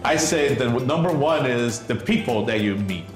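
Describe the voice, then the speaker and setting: A man who interprets and co-hosts an interview, playful and quick to laugh. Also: mid-range voice, expressive. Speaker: interpreter and co-host. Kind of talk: casual interview. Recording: echoey room with music behind.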